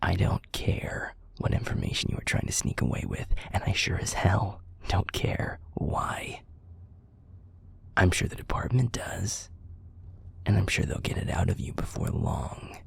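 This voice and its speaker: Male actor as bitter werewolf alpha for audio drama: very deep, gravelly, threatening, commanding. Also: very animated, a little slow, whispered, full character voice. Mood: weary, cold contempt. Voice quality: gravelly, breathy growl. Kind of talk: audio roleplay drama acting.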